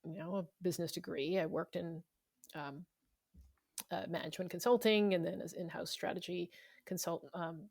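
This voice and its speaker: A woman's voice: fairly low pitch, steady tone, normal speed, creaky, a little mellow, slightly rough, no room sound. A woman giving informal, talkative interview answers, explaining things conversationally as a guest author. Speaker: interviewed novelist.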